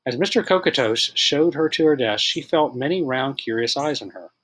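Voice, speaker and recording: A man narrates a children's storybook in an expressive read-aloud style. His pitch is mid-range and his voice is slightly husky. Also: moderate pace, low-quality recording. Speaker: teacher reading aloud.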